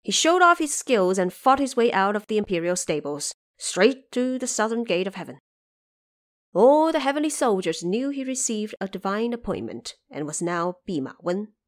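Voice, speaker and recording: A woman's voice: mid-range voice, very animated, normal speed. A woman narrator telling an epic adventure story in a scripted, dramatic storytelling style.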